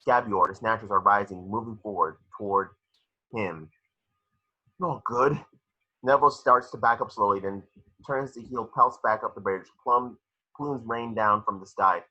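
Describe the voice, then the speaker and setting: A man's voice: lowish voice, normal speed, pushed and bright, husky, highs cut off. A man reading stage directions aloud as narrator in a dramatic screenplay read-through.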